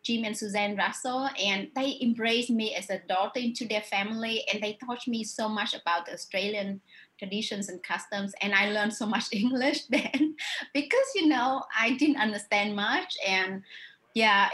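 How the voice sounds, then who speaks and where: A woman's voice: mid-range voice, normal speed, fairly bright tone. A woman from Vietnam with a Vietnamese accent, speaking thoughtfully in an interview about her writing, often restarting and adding "you know". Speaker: author. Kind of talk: reflective interview answers.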